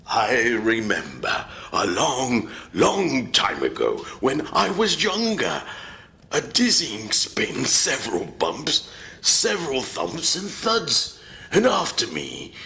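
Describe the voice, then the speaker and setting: A man playing a wise old vegetable elder with a calm, soothing way of speaking. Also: mid-range voice, gravelly, full character voice. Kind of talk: storybook character reading.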